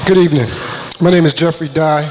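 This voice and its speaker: A man from New Jersey, a community activist and mayoral candidate, giving a direct, deliberate opening statement. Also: a little high, fairly even intonation, very noisy. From Passaic, New Jersey. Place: school auditorium.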